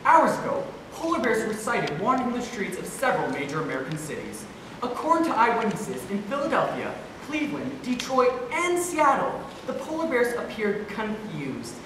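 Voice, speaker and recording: A woman on stage acts a late-night news anchor, perky yet deadpan. She delivers a mock bulletin in a mid-pitched voice. The recording is distant, very echoey and noisy. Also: normal speed, pauses often. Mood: newsreader brightness. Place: stage in a hall, far camera.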